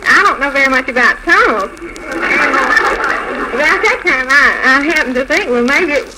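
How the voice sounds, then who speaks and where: An American woman telling a story haltingly as a guest on a live 1940s radio show, in an old noisy recording. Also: high voice, animated.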